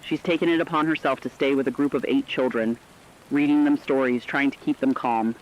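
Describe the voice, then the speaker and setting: Muffled radio audio, guy playing a worried caring co-host in a radio drama, earnest and emotive, medium pitch. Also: fairly even intonation, fairly quick, close to an everyday voice. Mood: somber concern. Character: concerned, compassionate male radio co-host from New York. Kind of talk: scripted radio commentary.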